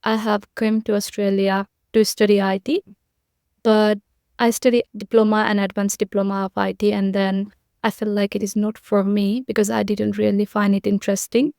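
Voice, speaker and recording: A woman's voice: medium pitch, flat delivery, moderate pace, creaky, darkish tone, clear, resonant tone, no echo, studio quality. A young woman from Nepal, a podcast guest, giving earnest, repetitive conversational answers with frequent fillers.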